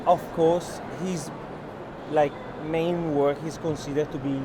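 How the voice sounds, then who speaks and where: A man's voice: a little high, fairly even intonation, takes it slowly. A man explains things in earnest, as a gallery owner in unscripted conversation, with hesitant phrasing. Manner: earnest, hesitant non-native English phrasing. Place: art fair hall, on a walking tour. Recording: noisy busy indoor fair hall.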